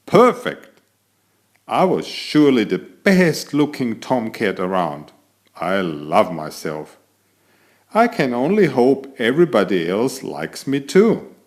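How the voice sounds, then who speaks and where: A man voicing an elegant, vain tomcat who chats theatrically to young listeners while telling a children's picture-book story. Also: mid-range voice. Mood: self-satisfied. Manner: self-admiring, theatrical, addresses young listeners directly.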